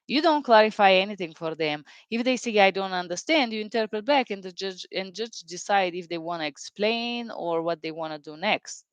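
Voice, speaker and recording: Lady chatting about interpreter work, clean smooth voice, really dry close sound, does the quotes. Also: mid-range voice, moderate pace, few pauses, dry recording. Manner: animated retelling, acting out quoted dialogue; non-native English phrasing. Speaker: interpreter telling work stories. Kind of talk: casual storytelling monologue.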